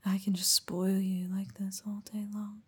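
Slow, breathy whispering woman. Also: medium pitch, little pitch movement, a little mellow. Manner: slow, teasing and affectionate. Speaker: audio roleplay performer. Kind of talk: whispered intimate audio roleplay.

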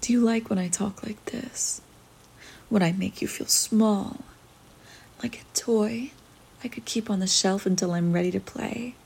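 A woman voicing a dominant, teasing young woman in a whispered ASMR roleplay, speaking in a breathy whisper with a slow, commanding manner. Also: medium pitch, a little mellow, heavily acted.

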